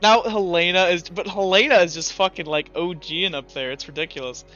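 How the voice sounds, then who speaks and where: A man's voice: sits high, brisk. A man speaking as game master in a casual, profane, informal way, narrating tabletop action and making rulings. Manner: profane, informal, animated storytelling. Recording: slightly dull, music playing underneath. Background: background music.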